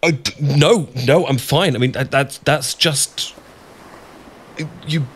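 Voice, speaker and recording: A man's voice: medium pitch, slow pace, slightly rough. A man with a British accent acting an awkward, easily flustered, bashful friend in a romantic ASMR audio roleplay, stammering and rambling as he goes. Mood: flustered, admiring.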